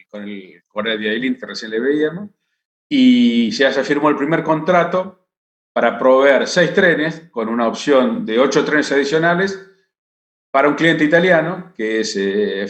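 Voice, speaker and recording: A man's voice: medium pitch, fairly bright tone. Hesitant man presenting in an echoey hall. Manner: hesitant, many fillers and restarts. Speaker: panel speaker. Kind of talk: conference presentation. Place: conference hall.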